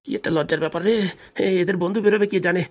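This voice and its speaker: A woman's voice: on the low side, racing, natural voice. A woman voicing a sheepish older man in a comic cartoon, nervous and evasive in manner. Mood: embarrassed, evasive. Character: flustered, sheepish older neighbour man avoiding blame.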